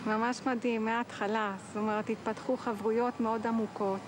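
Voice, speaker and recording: Participant: female, very fast, even pitch, spontaneous, with clear background noise. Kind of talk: spontaneous interview. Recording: noisy location, vehicle noise.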